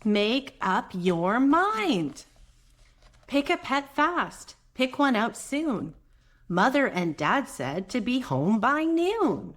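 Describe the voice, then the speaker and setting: A woman with a soft voice reads a picture book aloud to young children, gentle and expressive, with chatty asides to her listeners. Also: a little high, slow pace. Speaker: children's read-aloud host; mother.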